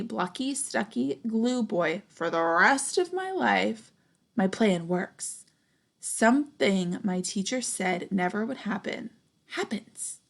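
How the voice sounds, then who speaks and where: A young woman reads a storybook to children at an unhurried pace, with noticeable vocal fry. Her delivery is expressive and deliberate, and she adds little comments and questions for the kids. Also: mid-range voice. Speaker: storybook reader, teacher. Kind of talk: children's picture book read-aloud.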